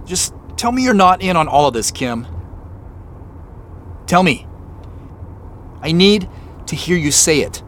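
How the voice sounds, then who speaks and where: A stressed man on the run, played by a male actor in an audio drama. He is suspicious and sarcastic, with an animated, fairly high voice. A faint hum of driving sits behind him. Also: unhurried, pauses often, heavily acted. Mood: pleading. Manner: sarcastic, agitated. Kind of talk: audio drama acting with first-person narration.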